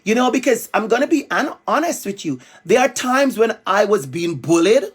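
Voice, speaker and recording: Animated Jamaican man addressing schoolkids. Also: sits high, normal speed, breathy edge. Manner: playful, emphatic, calls out to the kids. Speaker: school guest speaker. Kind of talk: animated talk to young students.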